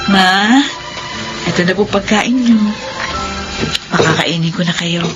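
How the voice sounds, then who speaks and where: An actress playing a devoted, emotional young eldest daughter in a radio drama, speaking earnestly. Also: female voice, lowish voice, a little slow, dull audio, over music, lightly acted. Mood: caring.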